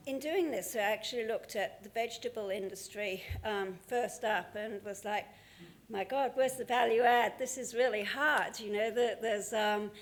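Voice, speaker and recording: A woman presenting at a conference, speaking with many pauses, ums and restarts. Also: mid-range voice. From Australia. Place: conference hall.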